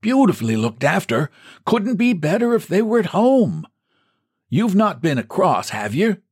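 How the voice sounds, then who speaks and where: A man's voice: high-pitched, big pitch swings, normal speed, darkish tone, husky, pitched above the performer's own voice, full character voice. A man voicing a frail elderly man who talks in a thin, piping, quavering way. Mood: fond.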